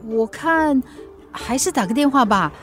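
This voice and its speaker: An actress playing a worried, proud mother in a radio drama, insistent and quick to get defensive. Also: high-pitched, expressive, faint music behind. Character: worried, proud mother hiding her concern. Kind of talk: Mandarin radio drama acting.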